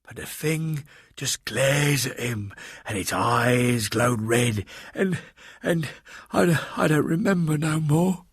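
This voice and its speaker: A hulking servant woman, terrified and frantic, gasps out her words in rough rustic grammar, as a man puts her on. The voice sits far higher than the reader's own, slightly husky, in a dry close recording for an audiobook. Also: expressive, slow pace, no echo, full character voice. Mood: frightened. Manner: frantic, breathless, rustic grammar, calls listener sir. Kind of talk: audiobook character voice.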